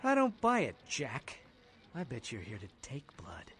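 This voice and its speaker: An actor playing a sly, streetwise blood bank clerk in a video game, with a sardonic, insinuating and theatrical delivery. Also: male voice, medium pitch, wildly swooping pitch, measured pace, a bit bright. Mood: sly, teasing. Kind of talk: video game character acting.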